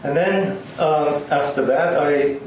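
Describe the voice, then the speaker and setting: A man's voice: fairly high pitch, slow, a little mellow. Older Finnish man reminiscing, roomy far mic. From Finland. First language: Swedish, Finnish and German. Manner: hesitant, many ums and restarts, chuckles. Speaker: interviewee, linguist. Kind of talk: oral history interview. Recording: roomy, distant, faint hiss.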